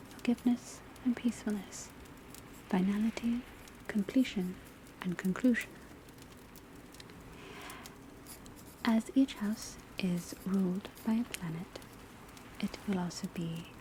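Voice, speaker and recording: A woman playing a patient, studious witch school teacher in an ASMR roleplay, whispering softly and deliberately as she lectures. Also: mid-range voice, lightly acted. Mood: calm, instructive. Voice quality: soft, breathy whisper. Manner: measured, deliberate, instructional. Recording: crackling fire, rain, pencil noise behind. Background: crackling fireplace, rain on roof, pencil writing.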